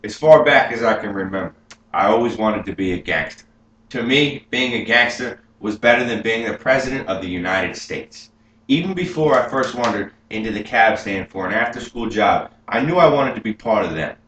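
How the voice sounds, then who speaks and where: A young man playing an adult gangster who proudly recalls his youth, telling it earnestly and rising with excitement, as a memorized film monologue. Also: lowish voice, normal speed, voice pushed up. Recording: roomy room with faint hum.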